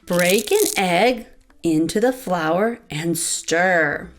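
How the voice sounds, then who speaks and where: A woman's voice: on the low side, animated, extremely slow, slight background noise. A woman voicing a patient farm mother giving step-by-step instructions in a children's storybook. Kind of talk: children's storybook read-aloud.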